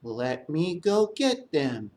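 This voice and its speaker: A gentle snail puppet from a children's show, voiced by a man. He talks very slowly and quietly, stretching out his polite words. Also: on the high side, sing-song, voice pushed down. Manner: drawn-out, quiet.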